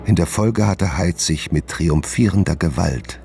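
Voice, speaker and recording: An actor playing a tormented, secretive gentleman doctor in a German radio drama, brooding and formal in his diction, with a gravelly voice. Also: sits low, unhurried, faint music behind. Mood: brooding, confessional. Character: tormented gentleman doctor, secretive, guilt-ridden, haunted by his dark side. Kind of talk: German radio drama acting and first-person narration.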